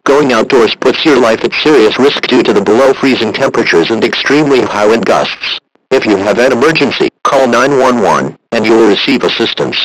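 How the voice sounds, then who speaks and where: A synthetic man's voice reading a formal scripted emergency warning in a flat, impersonal manner. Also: mid-range voice, brisk, low-quality recording. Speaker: emergency alert announcer.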